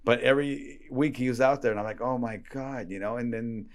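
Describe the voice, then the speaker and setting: A man in casual conversation as a guest, telling stories in an animated way and acting out bits of dialogue. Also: mid-range voice, a little fast. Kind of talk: casual chat.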